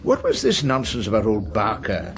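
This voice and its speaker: An actor playing an anxious, ambitious government minister in a radio drama, with a British accent and a fretful, confiding manner. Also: male voice, on the low side, huge pitch swings, moderate pace, a bit bright, full character voice. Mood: uneasy. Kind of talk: naturalistic radio drama acting.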